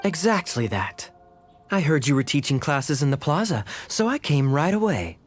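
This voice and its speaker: A man voicing a suave, teasing young knight in an anime game, with a smooth, sly, amused manner. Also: a little high, big pitch swings, moderate pace. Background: game music.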